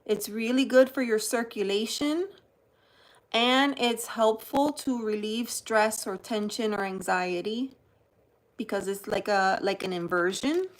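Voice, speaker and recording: A woman from New Jersey, hosting a live-stream card unboxing and greeting viewers by name. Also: fairly high pitch. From New Jersey, United States. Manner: chatty, greets viewers by name while showing cards; slow, unhurried delivery. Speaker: tarot channel host.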